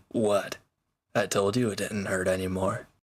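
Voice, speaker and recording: A man's voice: lowish voice, fairly even intonation, unhurried. A man playing a sardonic, teasing reformed villain in an intimate one-sided roleplay audio drama, with dry, casual delivery. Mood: smug, teasing.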